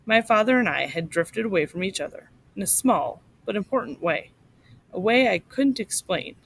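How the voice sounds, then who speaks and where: A woman reading a short story aloud to children in an expressive, instructive way, like a teacher. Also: mid-range voice, moderate pace, creaky, a little hiss or hum, poor audio.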